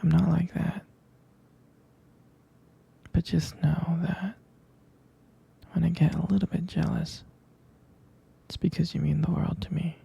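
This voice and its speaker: A man playing a tender, jealous, devoted boyfriend in an intimate ASMR roleplay, speaking haltingly in a breathy, husky whisper. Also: fairly high pitch, little pitch movement, mellow, heavily acted. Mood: possessive, tender. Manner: hesitant, intimate, halting delivery.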